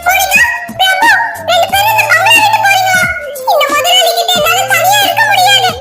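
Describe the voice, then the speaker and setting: A performer voicing a small pet cat in a comedy skit, squeaky and pleading. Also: fairly even intonation, normal speed, over music.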